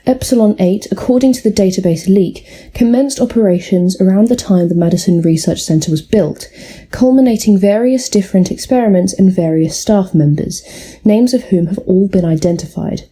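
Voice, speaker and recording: A woman acting as a grave female news anchor in a game, with a very dark, muted tone and a husky edge. The delivery is formal and measured, reading scripted breaking news at a middle pitch. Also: natural voice.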